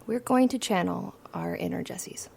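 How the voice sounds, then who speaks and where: A woman's voice: fairly low pitch, normal speed, creaky, a little mellow, slight background noise. A woman playing a dry, ambitious finance student. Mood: wry. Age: young adult. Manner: dry, self-mocking, ambitious. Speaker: finance student in audio drama. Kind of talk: audio drama acting.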